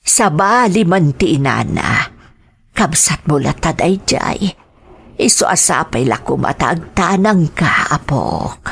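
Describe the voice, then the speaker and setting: A woman playing a gentle, worried grandmother in an audio drama, soothing and counselling her grown grandson. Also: deep voice, big pitch swings, slow, gravelly, breathy edge, heavily acted. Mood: gentle, advising.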